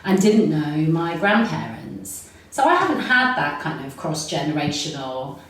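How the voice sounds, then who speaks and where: A woman's voice: low-pitched, big pitch swings, unhurried, recorded from a distance, crowd noise. A woman in her forties with a London accent, a podcast guest giving reflective, flowing answers full of filler words. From London, England. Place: room recorded in person. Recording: very echoey room, set back from mic, faint noise.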